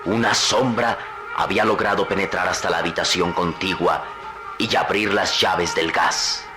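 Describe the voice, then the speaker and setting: Man, radio drama narrator, low voice, grave and suspenseful, loud music underneath. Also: steady tone, moderate pace.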